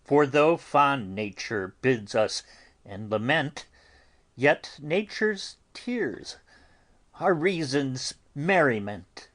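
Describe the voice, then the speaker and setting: A man playing a stern, pious friar in a dramatic Shakespeare audiobook, sermonizing deliberately with long pauses. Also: medium pitch, expressive, fairly bright tone. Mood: stern consoling. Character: stern, pious friar admonishing and consoling mourners.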